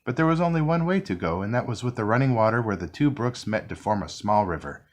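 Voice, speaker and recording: A man reading a children's picture book aloud, narrating gently and expressively. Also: on the low side, expressive, fast. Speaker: storybook reader. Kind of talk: children's read-along narration.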